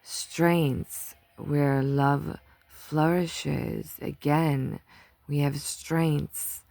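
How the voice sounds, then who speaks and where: A woman's voice: sits low, fairly even intonation, extremely slow, creaky, a little mellow, dry recording. A woman past her twenties giving a casual monthly tarot reading, chatty and rambling, laughing often as she tells stories. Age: 30s or older. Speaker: tarot reader.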